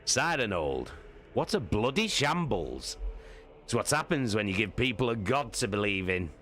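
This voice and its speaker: A wry, irreverent soldier acted by a man reading aloud, with his voice lifted in pitch. He is informal and sardonic, in a dramatic sci-fi audiobook style. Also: sing-song, moderate pace, sharp tone, a little hiss or hum. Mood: exasperated.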